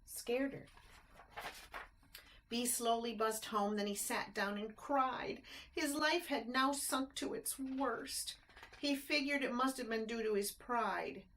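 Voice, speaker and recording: A woman reading a rhyming picture book aloud to children, expressive, with chatty explanatory asides and simple questions for the listeners. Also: fairly high pitch, slow, slight background noise, poor audio.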